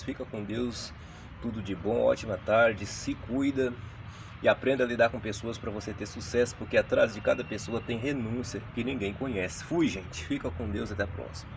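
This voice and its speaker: A man hosting a casual gardening vlog, chatting and explaining plant care outdoors while he films on a phone. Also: medium pitch. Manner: chatty, explaining, often asks "entendeu, gente?". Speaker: channel host. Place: outdoor paved yard with potted plants. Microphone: phone camera held close, filming plants. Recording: phone recording with loud street noise.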